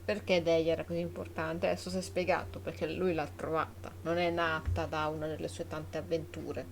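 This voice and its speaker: Lowish-pitched chatty Italian woman streaming. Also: little pitch movement, fast, creaky, a little hiss or hum. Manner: chatty, reactive. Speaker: game streamer. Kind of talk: casual live commentary on a game. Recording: game music behind the voice.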